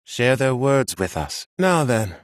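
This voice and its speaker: An actor playing a ceremonious seminar leader in a video game, speaking in a grand, formal, proclaiming manner. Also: male voice, medium pitch, very animated, slow pace, no room sound, studio quality. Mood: formal, presiding. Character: ceremonious seminar leader summoning wise elders. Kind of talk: video game character dialogue.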